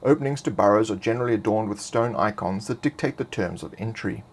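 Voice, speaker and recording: A man reading scripted fantasy game lore in a measured, expository way. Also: fairly low pitch. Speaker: narrator.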